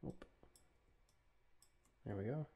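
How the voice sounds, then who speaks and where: A man's voice: slow, mellow. A man hosting an informal audio tutorial, talking through each step in a casual, somewhat hesitant way. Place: voice-over recorded while working at a computer.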